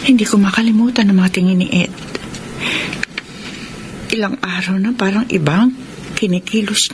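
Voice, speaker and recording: A woman plays a mature, caregiving widow in a Tagalog radio drama. Her voice sits in the middle range, earnest and full of feeling. There is clear noise under the recording. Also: mid-range voice, natural voice. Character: gentle mature widow, dutiful, conflicted caregiver. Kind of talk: Tagalog radio melodrama acting.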